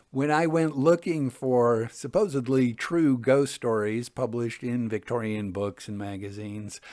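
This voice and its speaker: A man reading Victorian ghost tales as a storytelling host, in a measured, deliberate and expressive way. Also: mid-range voice. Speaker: host and reader of ghost stories.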